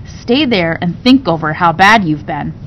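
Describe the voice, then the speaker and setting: A woman's voice: expressive, unhurried, fairly bright tone. Woman reading as a stern, scolding nanny. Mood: firm scolding. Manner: admonishing. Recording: dull recording with low rumbling noise.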